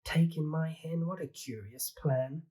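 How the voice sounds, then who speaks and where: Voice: medium pitch, unhurried, warm tone, breathy edge, voice pushed up. A reader voicing a fretful little old woman who keeps begging for help with her crowded house, in a pleading, sing-song storybook manner.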